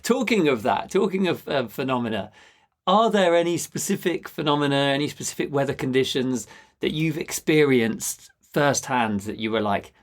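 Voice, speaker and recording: Male podcast host, medium pitch, conversational and engaged, thinking aloud. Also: animated, normal speed. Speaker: podcast host, fiction writer. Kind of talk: casual interview chat.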